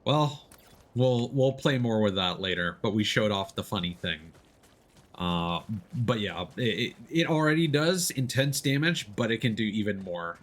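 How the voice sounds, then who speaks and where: A man's voice: on the low side, expressive, a little slow, fairly bright tone. A man streaming a video game, explaining it casually as he plays in an easygoing way. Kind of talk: casual gameplay commentary, explaining as they play. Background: video game sound.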